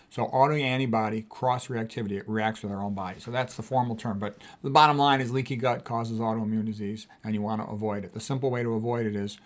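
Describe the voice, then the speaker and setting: Man giving an informal medical lecture. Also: on the low side. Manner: didactic, spells out and defines technical terms, conversational asides. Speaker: doctor presenting a health lecture. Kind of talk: informal explanatory medical lecture, reading quotes and commenting.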